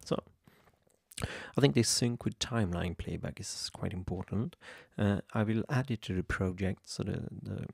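A young man from Sweden with a Swedish accent, hesitantly walking through a software tutorial at his computer, with many ums and restarts. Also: on the low side, normal speed, creaky, husky, no echo, clean studio sound. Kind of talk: screen-recorded software tutorial. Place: home room at a computer.

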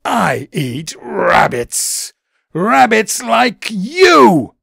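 A man voicing a sly, hungry female weasel who whispers, hisses and rasps with menace in a storybook. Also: sits high, wildly swooping pitch, extremely slow, fairly bright tone, no echo, clean studio sound, voice pushed up, exaggerated voice. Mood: savage threat. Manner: whispering, hissing, rasping.

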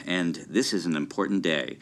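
A man's voice: low voice, normal speed. A man hosting a scripted documentary-style show, explaining history in an earnest, explanatory way.

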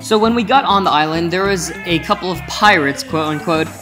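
A man's voice: sits high, brisk, music in the background, low-quality recording. A teenage boy vlogging casually from his bedroom, rambling and correcting himself as he goes. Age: teens. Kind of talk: casual vlog chat. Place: small bedroom with posters.

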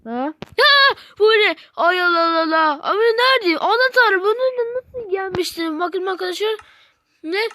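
Really high voice doing a let's play, rambly n exclamatory, repeats stuff, super dry sound. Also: expressive, moderate pace, bright, forceful tone, no room sound. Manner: rambling, exclamatory, repeats phrases, addresses viewers as friends. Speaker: video game YouTuber. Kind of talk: excited let's play commentary.

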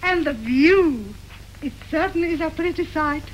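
An actress playing a chatty, hopeful young waitress arriving as a bride, talking in a folksy, ungrammatical way, in early 1930s film acting. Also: female voice, sits high, expressive, moderate pace, low-quality recording. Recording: hissy early sound film.

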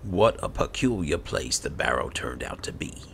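Gravelly, very deep-voiced man, slow and low-key. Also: sing-song, a bit bright, whispered. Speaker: gamer host playing and roleplaying a fantasy game. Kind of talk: casual game commentary with read-aloud notes.

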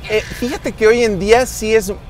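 A man with a Mexican accent, a voice actor and announcer, explaining his work in a relaxed chat as a passenger in an open-top car. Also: high-pitched, brisk. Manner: easygoing, explains patiently with many fillers. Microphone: car-mounted camera.

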